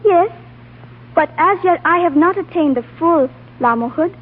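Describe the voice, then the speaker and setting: A high-voiced, serene young lama girl. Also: expressive, normal speed. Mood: serene, demure. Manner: formal, simple, gentle phrasing. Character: serene, demure young female lama musician. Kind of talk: 1940s radio drama acting. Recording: tinny old radio, long reverb.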